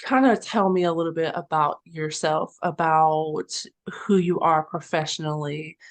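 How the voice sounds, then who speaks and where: A woman in her thirties hosts a casual podcast interview. Her voice sits low, and she talks in long, rambling stretches broken up by small laughs. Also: steady tone, measured pace. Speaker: podcast host.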